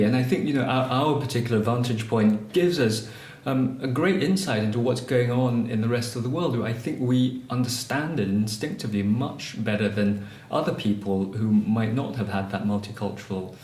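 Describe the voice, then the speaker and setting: A man's voice: mid-range voice, a little mellow. A man from Malaysia answering interview questions about his novels, thoughtful and hesitant, with many ums and restarts. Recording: echoey room, faint ambience.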